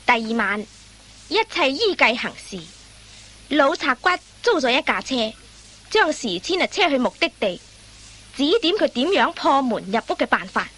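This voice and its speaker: A woman's voice: high-pitched, big pitch swings, normal speed, fairly bright tone. A woman narrating a scripted radio comedy drama as a storyteller.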